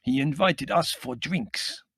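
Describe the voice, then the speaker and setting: A man voicing a tipsy, lecherous, gravely courtly Middle European man in an audiobook, with a heavy accent and intoning delivery. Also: a little high, slow pace, a bit bright, no room sound, pitched above the performer's own voice, heavily acted. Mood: courtly, florid. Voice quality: heavy, sepulchral. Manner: intoning, doleful, florid.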